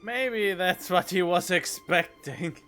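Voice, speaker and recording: A man's voice: high voice, slow, pushed and bright, faint music behind. A young man streaming a video game, giving casual reaction commentary with exclamations and joking asides on a close microphone. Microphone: close studio condenser microphone.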